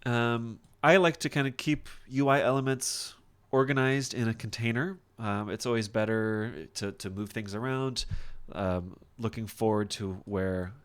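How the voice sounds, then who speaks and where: A man teaching software in a casual screen-share tutorial, thinking aloud informally as he demonstrates each step. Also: on the low side, fairly even intonation, unhurried, hardly any pauses, clean tone. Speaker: software instructor.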